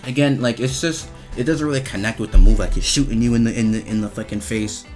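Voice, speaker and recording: A man giving casual, slangy, profane commentary as he rates video game voice lines, with an animated, emphatic delivery. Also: mid-range voice, fast, faint music behind.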